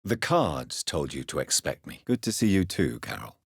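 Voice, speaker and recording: A man playing an earnest, courteous English gentleman doctor in a video game, speaking formally and asking measured questions. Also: extremely low pitch, big pitch swings, vocal fry, husky, no room sound, studio quality, full character voice. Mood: polite, questioning. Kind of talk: video game character dialogue.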